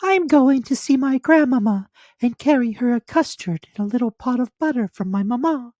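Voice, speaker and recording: An innocent little storybook girl, played by a man in falsetto, very high and swooping. The delivery is wide-eyed, trusting and singsong, recorded close and dry. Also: lively intonation, brisk, a little mellow, dry recording, highs cut off, natural voice. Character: innocent sweet naive little country girl.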